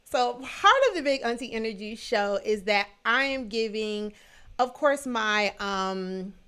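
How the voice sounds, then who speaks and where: A woman in her thirties hosting a solo livestream, talking in a sassy, emphatic, playfully scolding way with a booming voice. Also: a little slow, sharp tone, smooth. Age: 30s. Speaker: livestream show host.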